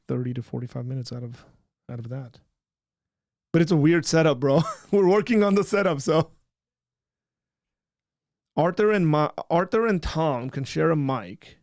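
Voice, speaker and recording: A man hosting a casual livestream, chatting loosely and often trailing off into a quiet mumble. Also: mid-range voice, normal speed, gravelly, long pauses, no echo, studio quality. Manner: relaxed, rambling, trailing off quietly. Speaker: livestream host.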